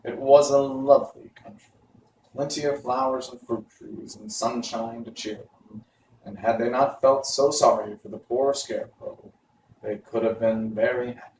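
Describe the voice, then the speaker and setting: A man's voice: medium pitch, fairly even intonation, normal speed, darkish tone. A man narrating a storybook, reading aloud. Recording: roomy, distant mic, faint noise.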